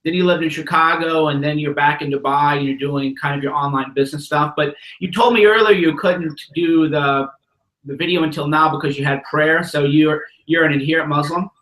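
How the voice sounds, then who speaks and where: A man hosting a relaxed online interview, talking frankly and restarting his sentences as he goes. His voice sits a little high and sounds bright and forward. The room around him rings with a noticeable echo. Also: brisk. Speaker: host of call-in show. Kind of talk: casual interview chat.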